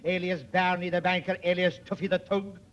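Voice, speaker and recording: A man acting a smug cop making an arrest in a 1940s radio comedy. He is high-pitched and patronizing, heard on a muffled old recording. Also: little pitch movement, a little fast, natural voice. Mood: smug, taunting. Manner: smug, taunting.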